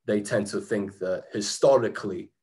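A man's voice: on the low side, normal speed, darkish tone. Chatty man giving a slide talk. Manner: chatty, frequent restarts and fillers, occasional laughs. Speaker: presenter. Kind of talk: informal slide-talk presentation. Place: small room indoors. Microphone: webcam on a video call.

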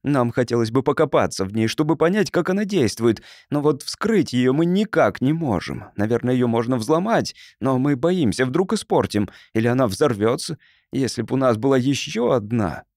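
A man's voice: medium pitch, animated, moderate pace, darkish tone, dry recording, clean studio sound, natural voice. A man voicing an eager, inquisitive engineer neighbour who questions and explains in an audiobook story. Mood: eager, puzzled. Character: agitated, inquisitive male engineer neighbour, technically minded. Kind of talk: audiobook character acting.

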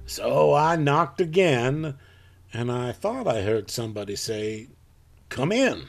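A man playing a plain-spoken rural farmer in a live audio drama, telling his story in a folksy, halting way. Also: medium pitch, very animated, creaky, faint music behind, heavily acted. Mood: uneasy recounting.

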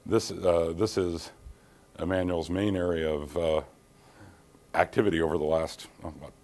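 Low-voiced man giving a technical keynote. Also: little pitch movement, darkish tone. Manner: measured, frequent ums and restarts. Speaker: keynote speaker, engineer. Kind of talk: technical conference presentation. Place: dim conference hall, standing by projector screen.

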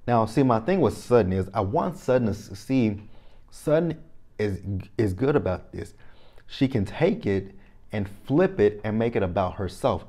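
Low, mellow-voiced Texan man vlogging. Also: moderate pace. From Texas. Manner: animated, repeats phrases, talks straight to viewers. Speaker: reality TV recap vlogger. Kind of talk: casual opinionated review to camera. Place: small indoor room, plain backdrop. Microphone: lapel mic.

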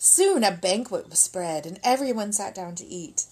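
A woman's voice: medium pitch, animated, normal speed, a little mellow, husky. A middle-aged woman reading a picture book aloud expressively as a storytime reader, indoors at a table.

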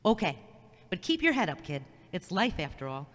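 Casting: supportive, blunt female pal acted by a woman, lively intonation, echoey sound. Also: fairly low pitch, normal speed. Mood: brisk, teasing. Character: hurried, frank, supportive woman friend. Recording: echoey hall-like reverb.

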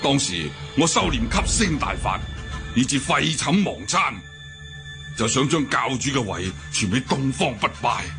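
Aging deposed sect leader, gravelly voice, dramatic swings, proud and brooding, Hong Kong Cantonese, radio drama over music. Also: male voice, medium pitch, big pitch swings, measured pace. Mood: indignant. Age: older. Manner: theatrical, sighing, declamatory. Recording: music bed behind the voice.

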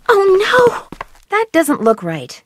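A woman's voice: very high-pitched, wildly swooping pitch, sharp tone, slight background noise, heavily acted. An actress voicing a curious, upbeat young female detective, chirpily talking to herself in a video game. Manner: chirpy self-talk, quick remarks to herself. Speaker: game protagonist.

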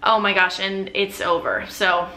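A woman's voice: medium pitch, level tone, unhurried, bright, forceful tone, a little hiss or hum. A young adult woman with an American accent chats casually and enthusiastically to camera, sharing recommendations with emphatic, chatty delivery. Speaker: vlogger. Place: living room at home. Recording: roomy indoor echo.

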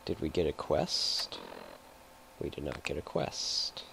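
Male gamer, slow and halting, heavy vocal fry, casual commentary over clear background noise. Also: a bass voice, steady tone. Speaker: gameplay streamer. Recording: noisy, game sounds behind voice.

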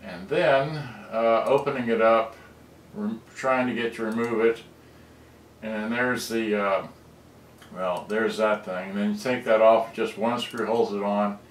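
A man casually walking through a cassette deck repair, rambling with halting restarts. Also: fairly low pitch, steady tone, a little hiss or hum. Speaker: electronics repair hobbyist. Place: room, filming photos on a monitor. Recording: roomy, distant camera audio.

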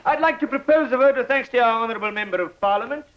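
A man's voice: extremely high pitch, racing, lo-fi sound. An actor playing a pompous, fussy male small-town mayor in a film comedy, formal and emphatic. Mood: ceremonious.

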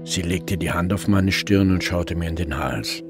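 A man's voice: low-pitched, moderate pace, music in the background. A man acting as a brooding police detective who narrates his case in first person in a German crime radio play. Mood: reflective, subdued.

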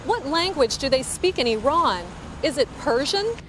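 A middle-aged woman reporter interviewing tourists outdoors with a handheld mic, speaking slowly and clearly for learners. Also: high-pitched, lively intonation, noisy background. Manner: upbeat, enunciates carefully. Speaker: reporter host of an English lesson. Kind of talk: slow, clear scripted interviewing. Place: outdoors on city streets. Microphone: handheld microphone.

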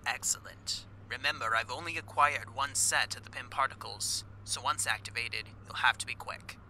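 Commanding villain mastermind, male actor: gravelly, piercingly tense, mid-pitched, for superhero audio roleplay. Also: normal speed, sharp tone, a little hiss or hum, poor audio. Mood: commanding, plotting. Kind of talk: ASMR roleplay audio drama.

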